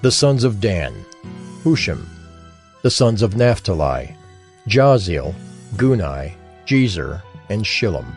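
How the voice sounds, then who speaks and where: A low-pitched male narrator reads very slowly and names each person in turn. His intonation stays expressive throughout the list. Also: soft background music. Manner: deliberate, listing names one by one. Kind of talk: scripture reading of a genealogy.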